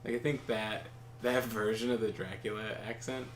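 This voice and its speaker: A man's voice: lowish voice, fairly even intonation, moderate pace. A man chatting casually over a game, telling rambling anecdotes full of fillers and chuckles. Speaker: co-host of a game stream. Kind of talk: casual chat while gaming. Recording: roomy echo, faint background noise.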